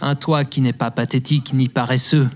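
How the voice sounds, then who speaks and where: A man's voice: mid-range voice, level tone, moderate pace, close to an everyday voice. A man playing a smug, contemptuous, successful parallel-universe double in a French audio drama, speaking with condescension. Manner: condescending, scornful.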